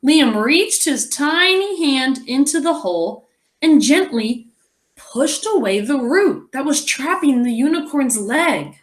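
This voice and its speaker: A woman reads a story aloud to children like a teacher. Her pitch moves in lively swoops while her pace stays slow and measured. She is expressive, stepping out of the tale to explain and wonder aloud. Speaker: teacher reading aloud to pupils. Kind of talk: animated children's read-aloud with asides.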